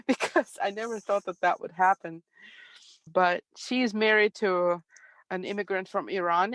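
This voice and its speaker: A middle-aged woman from Ukraine with a Russian accent, a Russian native speaker telling her story calmly as an interview guest. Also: medium pitch, dry recording. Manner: calm, reflective, soft laughs.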